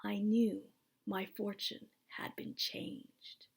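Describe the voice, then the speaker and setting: A woman's voice: medium pitch, flat delivery, slow, creaky, darkish tone, slightly rough, whispered. A woman reading aloud from a children's storybook as its author, in a narrative style. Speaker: author reading their book.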